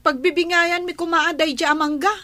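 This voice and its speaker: Actress as greedy young-sounding Ilocano wife for radio drama: very high, sharp, whiny, always arguing. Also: female voice, fairly even intonation, a little fast. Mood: curious, disgruntled. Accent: Filipino, Ilocano. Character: greedy, complaining married daughter-in-law demanding a bigger share.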